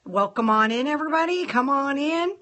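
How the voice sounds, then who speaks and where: A woman hosting a casual live stream, chatting playfully with viewers and greeting them in a sing-song lilt, her voice a little rough from a sore throat. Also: high voice, lively intonation, a little fast, fairly bright tone. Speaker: nail salon owner hosting a live stream.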